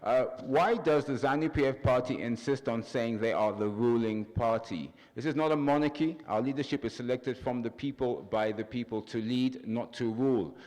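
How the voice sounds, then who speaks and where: Upbeat male TV host with studio reverb. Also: medium pitch. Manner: upbeat, announcer-like reading of credits and shout-outs. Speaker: TV talk show host. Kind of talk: hosting a panel broadcast.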